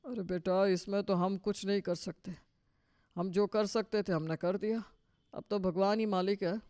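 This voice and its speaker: A man's voice: high voice, fairly even intonation, moderate pace, a little mellow, clean tone, dry recording, lightly acted. Cartoon role: henpecked old father-in-law played by a man, resigned, worried, dry close recording. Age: older. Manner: resigned, complaining. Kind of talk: Hindi cartoon story dialogue.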